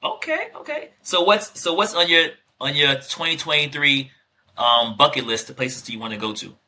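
Bright-voiced joking podcast man. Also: fairly high pitch, lively intonation, moderate pace. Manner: joking, teasing, playful. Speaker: podcast co-host. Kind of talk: casual podcast banter.

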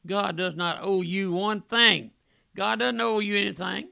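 A middle-aged male preacher delivering an impassioned revival sermon, often dropping to a hush on a repeated address to his listener. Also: high voice, steady tone, measured pace, creaky, clean tone, dry recording, studio quality.

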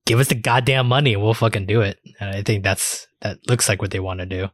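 A man's voice: lowish voice, fast talker, no room sound, studio quality. A man co-hosting a podcast, chatting casually in loose, colloquial phrasing. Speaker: podcast co-host. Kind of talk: casual chat.

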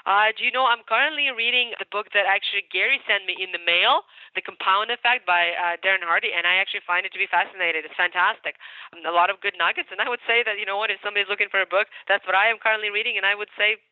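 A woman's voice: mid-range voice, steady tone, fast talker, no room sound. A woman with a Ukrainian accent, a mortgage broker chatting as a guest in an interview, upbeat and talkative, repeating words for emphasis. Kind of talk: casual podcast interview. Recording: tinny phone line, dry.

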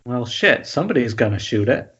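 A man playing a reckless, sarcastic film director with a husky voice in a screenplay table read over a dull internet call. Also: medium pitch, sing-song, a little fast, a bit bright, full character voice. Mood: impatient, defiant.

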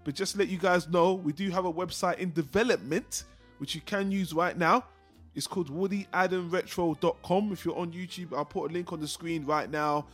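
A man hosts a casual film podcast with a blokey, informal delivery. His voice sits a little higher than most men's. Music plays faintly behind him as he talks. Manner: blokey, informal, swearing banter. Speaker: podcast host.

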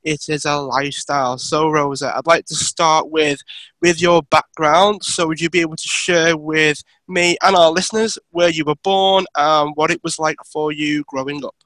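Bright-voiced male show host, close and dry. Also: fairly high pitch, steady tone, normal speed, no room sound, poor audio. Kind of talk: scripted introduction and interview questions.

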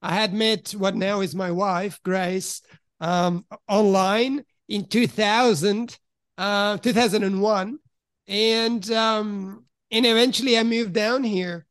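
A middle-aged man from Italy, raised in Switzerland, frankly telling his life story as a podcast guest and often pausing to search for words. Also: sits high, steady tone, fairly bright tone, clear, resonant tone, no room sound. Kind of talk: candid personal storytelling in conversation.

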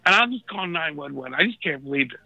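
A man with a raspy voice, thirsty and unfed in a hospital room, telling a story in a laid-back, slangy way. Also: fairly high pitch, lively intonation, fast, no echo, lo-fi sound, soft background music. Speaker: guest calling in from a hospital bed. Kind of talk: casual storytelling chat.

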